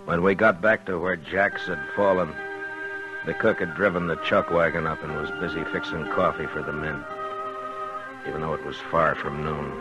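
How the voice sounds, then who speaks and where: Casting: sober American frontier marshal, extremely low voice, measured and understated, vintage radio western with music behind. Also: male voice, steady tone, lo-fi sound. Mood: somber narration. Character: sober, plain-spoken US marshal of the Old West. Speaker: lead actor and narrator. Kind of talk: 1950s radio western acting, narration and dialogue. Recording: vintage 1950s radio recording.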